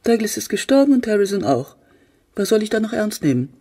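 An actress playing a middle-aged, cold-hearted, bitter mother in a radio play, with a cutting, scornful manner and a husky low voice. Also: sing-song, fairly quick, dark tone.

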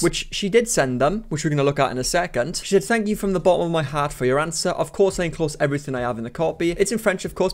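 Sarcastic young british guy, slightly high. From United Kingdom. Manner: sarcastic, exasperated. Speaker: commentary YouTuber. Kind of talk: commentary vlog. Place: bedroom studio.

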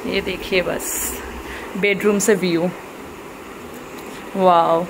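A woman's voice: on the low side, flat delivery, extremely slow. A young woman giving a chatty tour in a casual travel vlog, often exclaiming as she goes, filmed on a handheld phone. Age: young adult. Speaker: travel vlogger. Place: hotel room, then outdoor rooftop pool. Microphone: handheld phone at arm's length. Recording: noisy phone audio with ambience.

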